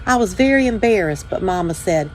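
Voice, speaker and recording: A woman hosting a children's story time, reading aloud with measured, deliberate phrasing. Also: mid-range voice, expressive, a little hiss or hum, poor audio. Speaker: church children's ministry director, story time host.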